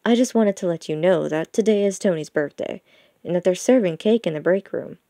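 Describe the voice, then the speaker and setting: A woman's voice: on the low side, fairly quick, mellow, no room sound, clean studio sound, natural voice. A woman voicing a male beaver, an easygoing and smirking office coworker, in an audiobook story. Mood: wry, breezy. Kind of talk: audiobook character dialogue.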